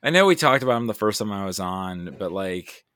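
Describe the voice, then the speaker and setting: A man with a fairly low voice, chatting casually as a podcast guest. The sound is dry, with very little room. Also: lively intonation, fairly quick, fairly bright tone, clean tone, dry recording. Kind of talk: casual chat.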